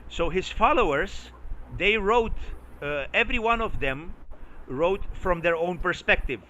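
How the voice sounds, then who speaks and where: Bright-voiced stammering Romanian man. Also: sits high, animated, measured pace, lo-fi sound, a little hiss or hum. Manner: stammering, repeats words while searching. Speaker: vlogger chatting with a stranger. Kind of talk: casual street conversation.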